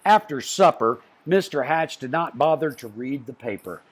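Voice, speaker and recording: A man reading a picture book aloud to children, expressively, with deliberate phrasing and stressed story beats. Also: medium pitch, lively intonation, slightly rough.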